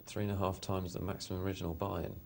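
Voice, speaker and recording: A man's voice: low-pitched, level tone, fast, gravelly, darkish tone. A man hosting a live poker show, commenting on hands in a chatty, hesitant way with lots of fillers. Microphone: studio mic.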